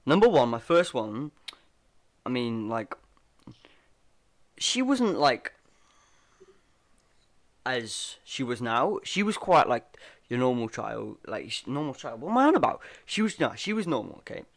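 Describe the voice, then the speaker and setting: Rambling young lad hosting a chat. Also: male voice, medium pitch, sing-song, unhurried, pauses often. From Wales. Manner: rambling, laughing, lots of "bro" and "like". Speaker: podcast host. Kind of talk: casual chat.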